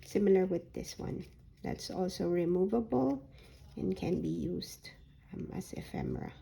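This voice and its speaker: A woman with a hoarse voice that is recovering from a sore throat, showing a handmade journal folio in a soft, unhurried way with frequent ums. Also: on the low side, expressive. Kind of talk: slow, casual craft flip-through.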